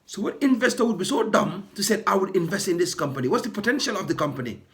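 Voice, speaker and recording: Hoarse, indignant man ranting. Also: high-pitched, lively intonation, quick pace, a bit bright. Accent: British. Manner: indignant, repetitive, building to impassioned outbursts. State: hoarse, losing his voice. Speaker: ride-hailing driver. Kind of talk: ranting vlog to camera.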